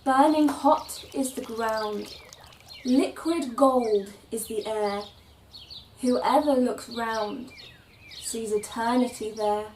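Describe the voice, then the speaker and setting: A woman reading poetry aloud in a measured, deliberate way, as a recitation. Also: fairly high pitch, fairly even intonation, a little mellow. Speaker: poetry reader. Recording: roomy, distant, faint noise with birdsong.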